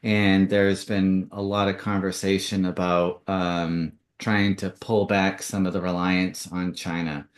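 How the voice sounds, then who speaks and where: A man's voice: low-pitched, level tone, a little slow, hardly any pauses. A man hosting a podcast, moving between a scripted introduction and interview questions, recorded with a roomy echo. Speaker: podcast host. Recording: roomy, echoing voice.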